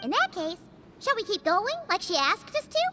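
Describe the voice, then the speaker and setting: An actress voicing a bright, excitable young female companion with a high, chirpy voice. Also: normal speed, slight background noise, exaggerated voice. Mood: eager.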